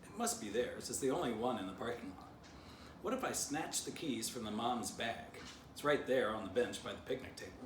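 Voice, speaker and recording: A man's voice: medium pitch, close to an everyday voice. A man voicing a naive, hopeful storybook dog who explains himself in an earnest way. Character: naive, hopeful male dog proposing plans. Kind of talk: children's storybook character voice. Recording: distant mic, roomy, noisy.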